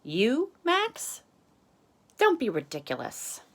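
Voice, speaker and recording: A woman's voice: a little high, huge pitch swings, slow pace, gravelly, slight background noise, pitched above the performer's own voice, heavily acted. A woman voicing a proud, fussy male bearded dragon painter in a storybook, speaking in a haughty, exacting, emphatic way. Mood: scornful.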